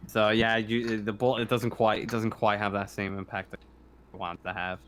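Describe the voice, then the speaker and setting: A man talking as game master of a casual tabletop game, halting and repeating phrases as he narrates. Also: fairly low pitch, level tone, a bit bright, a little hiss or hum.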